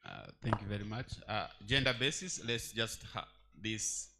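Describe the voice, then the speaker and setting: A man's voice: fairly low pitch, normal speed, very noisy. A man chairing a conference session, moderating questions informally in broken, often unfinished phrases. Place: conference hall.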